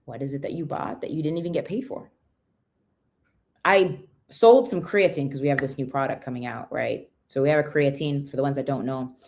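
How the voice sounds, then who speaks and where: A woman with a low voice and an American accent talks casually to her livestream audience. She is young and chatty, keeps checking in with "right?" and greets viewers by name. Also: a little fast, darkish tone. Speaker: livestream host.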